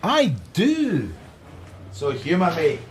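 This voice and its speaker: Radio drama: a needling, sardonic Scottish detective, very varied pitch, faint noise behind. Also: male voice, huge pitch swings, takes it slowly, heavily acted. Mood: brisk, sardonic. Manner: dry, needling sarcasm.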